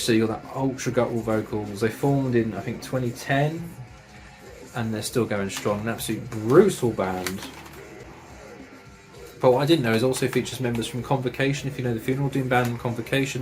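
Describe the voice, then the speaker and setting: A man's voice: medium pitch, steady tone, brisk, music in the background. A man casually reviewing metal records and tapes, enthusiastic and chatty, with slang and swearing.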